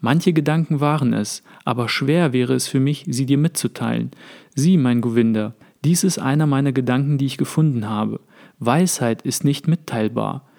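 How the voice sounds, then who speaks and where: A man reading aloud as a wise, calm sage explaining his thoughts to a friend, in a measured, earnest way. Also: mid-range voice, gravelly, lightly acted. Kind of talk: read-aloud literary dialogue.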